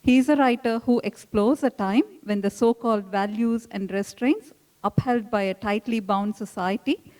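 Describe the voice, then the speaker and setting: A woman hosting a book club event, reading out a measured introduction of the next speaker. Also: mid-range voice, a little mellow, rough recording.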